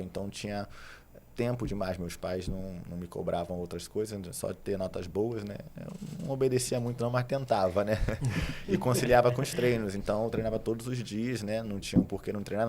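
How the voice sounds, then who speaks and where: A man's voice: fairly low pitch, darkish tone, a little hiss or hum. A man in his thirties with a Carioca Brazilian accent, telling stories as a podcast guest in an easygoing way, laughing often and tagging on "né?". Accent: Carioca Brazilian Portuguese. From Rio de Janeiro, Brazil. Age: 30s. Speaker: guest, jiu-jitsu instructor. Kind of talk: casual storytelling chat.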